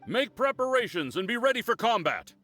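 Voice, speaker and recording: A man playing an eager, flattering, officious security officer in a video game. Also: very high voice, fairly quick, faint music behind, exaggerated voice. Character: fawning, eager male desert security officer.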